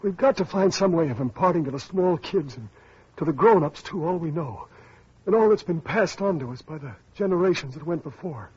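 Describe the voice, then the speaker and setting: Sick idealistic male survivor, very husky, high-pitched, halting, earnest, muffled vintage radio. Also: animated, darkish tone, no room sound. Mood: earnest, feverish. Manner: halting, repeats words, earnest. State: ill, weak. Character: ailing, earnest male survivor, feverish idealist about teaching. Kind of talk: old-time radio drama acting. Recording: muffled lo-fi old radio recording.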